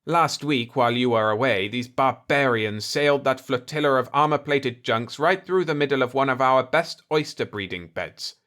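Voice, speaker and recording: A man voicing an indignant, gruff chief of state who complains combatively in a sci-fi audiobook. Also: mid-range voice, moderate pace, few pauses, fairly bright tone, lightly acted. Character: indignant, blustering cigar-chewing planetary chief of state, male.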